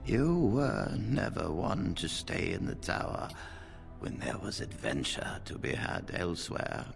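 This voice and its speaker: An old fantasy game wizard with an extremely low, heavily creaking voice. He speaks in a measured, dryly wry way, slow and dignified, with music playing clearly underneath. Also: sing-song, heavily acted. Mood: fatherly. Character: aged male First Enchanter mage, wry and dignified. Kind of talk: video game dialogue.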